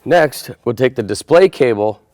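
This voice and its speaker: A man's voice: mid-range voice, expressive, moderate pace, a little mellow. A man presenting a how-to installation tutorial, explaining each step in a plain, methodical way. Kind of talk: step-by-step instructional narration.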